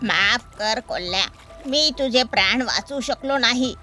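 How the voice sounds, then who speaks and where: Woman as a bright, plaintive donkey ghost. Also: on the high side, a little slow, faint music behind. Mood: regretful. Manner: mournful, plaintive. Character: sorrowful ghost of a male donkey, gentle warner. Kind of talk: animal storybook character voice.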